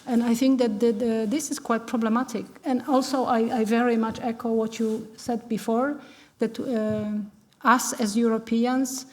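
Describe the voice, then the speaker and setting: A woman from Poland speaking on a discussion panel in a large hall, earnest and halting with many restarts. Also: a little high, steady tone, darkish tone.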